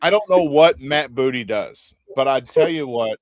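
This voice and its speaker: A man's voice: medium pitch, lively intonation, moderate pace, dry recording. Opinionated man on a podcast panel. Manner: rambling, opinionated, trails off mid-sentence. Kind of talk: casual panel chat.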